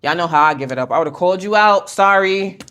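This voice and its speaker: A woman's voice: fairly low pitch, quick pace, a bit bright. A woman hosting a casual tarot reading, talking in a blunt, slangy, conversational way as she spins out scenarios. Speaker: tarot reader and video host. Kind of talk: casual pick-a-card tarot reading to camera.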